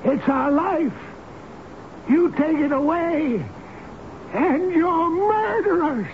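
A man's voice: very high voice, slow, exaggerated voice. A man playing a stubborn, devoted old elevator operator in a radio drama, with a folksy, plain-spoken manner. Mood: defiant, upset. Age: older. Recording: noisy, muffled old radio broadcast recording.

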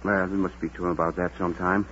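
An actor playing a kindly parish priest, thoughtful and measured, in a Golden Age radio drama. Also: male voice, sits low, flat delivery, fairly bright tone, slightly rough. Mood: gently persuasive. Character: gentle, wise, patient male parish priest. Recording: dull old radio drama recording.

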